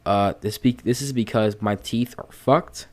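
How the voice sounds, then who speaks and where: Slow, lisping man with a stutter. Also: on the low side. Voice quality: lisp. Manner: deliberately slow and calm delivery; swears casually. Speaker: YouTube commentator. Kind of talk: casual commentary recap. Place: at home.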